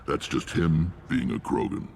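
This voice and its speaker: Alien warlord, rock-bottom pitch, heavy gravel, blunt, commanding, wry, video game acting. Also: male voice, darkish tone, a little hiss or hum, full character voice. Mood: proud, commanding. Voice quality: very deep, gravelly. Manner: blunt, measured, authoritative. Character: gruff battle-worn alien warlord clan chief, commanding and wry.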